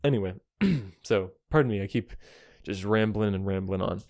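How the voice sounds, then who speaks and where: A man's voice: on the low side, moderate pace, darkish tone, no room sound, clean studio sound. A man giving a casual, rambling synthesizer demonstration to a friend, enthusiastic yet self-deprecating and apologetic, speaking as an amateur player. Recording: music behind, occasionally overloaded mic. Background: synthesizer tones.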